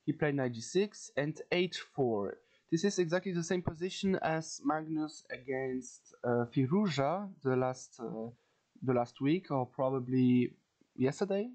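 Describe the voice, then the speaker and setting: A man from France with a French accent gives an informal lesson as a video host, haltingly searching for words and repeating phrases. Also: medium pitch, a little mellow. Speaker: chess teacher, video host. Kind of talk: informal instructional commentary.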